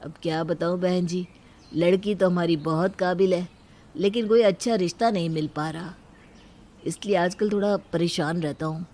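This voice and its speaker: Cartoon story role: woman as friendly, polite middle-aged dad, lowish voice, proud of his daughter. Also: steady tone, normal speed, mellow, lightly acted. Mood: worried. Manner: sociable, polite. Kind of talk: acted cartoon story dialogue.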